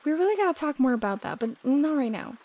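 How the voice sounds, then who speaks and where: A woman playing an affectionate, shyly teasing young roommate in an intimate ASMR roleplay, speaking softly and often dropping to a whisper. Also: high voice, very animated, fast. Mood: tender, sleepy.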